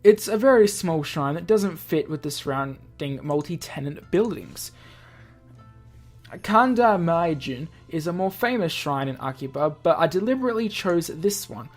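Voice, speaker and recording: A woman's voice: fairly low pitch, animated, normal speed. Chatty woman reading aloud over game music. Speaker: gaming video host. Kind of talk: casual let's play, reading visual novel text aloud with side comments. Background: video game music.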